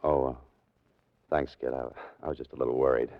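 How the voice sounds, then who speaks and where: A man's voice: very deep voice, flat delivery, fairly quick. A man playing a wry, adventurous writer-sleuth with understated delivery in 1940s radio acting style. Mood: wry, kindly. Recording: muffled lo-fi 1940s radio recording.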